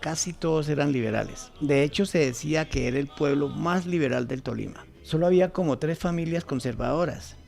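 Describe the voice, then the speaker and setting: Man as Colombian grandfather, over 60, gravelly and fairly high-pitched, reminiscing in a radio play. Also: a little fast, hardly any pauses, a little mellow, faint music behind. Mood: earnest, reminiscing. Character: elderly grandfather recalling his childhood amid political violence. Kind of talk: radio drama storytelling.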